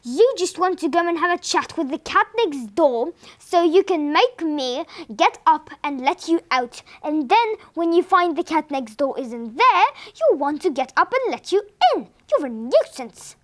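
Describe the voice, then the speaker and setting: A woman's voice: sits high, big pitch swings, a little slow, hardly any pauses. A woman voicing a stubborn, grumbling elderly husband who is kind underneath, sounding exasperated.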